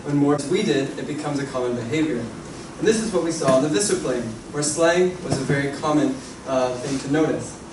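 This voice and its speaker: A man's voice: mid-range voice, fairly quick. Man presenting in a noisy, echoey hall. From Canada. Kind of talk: short scripted talk. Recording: echoey hall, mic at a distance, background noise.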